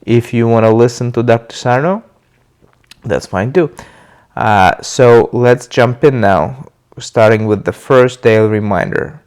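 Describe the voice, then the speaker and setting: Casting note: man, mid pitch, stuffy from a cold, easy unscripted talk with frequent fillers. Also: unhurried, clean tone. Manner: relaxed, loose, with many uh fillers and restarts. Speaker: video host sharing his recovery. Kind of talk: casual talk to camera.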